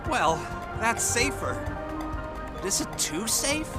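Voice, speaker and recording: A man's voice: high-pitched, sing-song, takes it slowly, exaggerated voice. A man playing a timid, self-deprecating, lovesick young soldier in a video game scene. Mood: nervous. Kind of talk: video game cutscene acting. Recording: music behind the voice.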